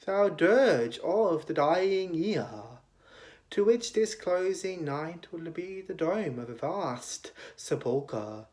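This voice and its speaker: Very slow, declamatory male poetry reader. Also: high-pitched, expressive, darkish tone. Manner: declamatory, with long pauses. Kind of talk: dramatic poetry recitation.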